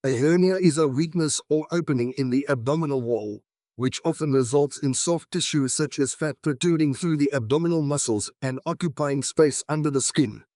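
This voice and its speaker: A man's voice: fairly high pitch, moderate pace, darkish tone, dry recording, clean studio sound. A man reads scripted exam practice passages aloud as a model reading.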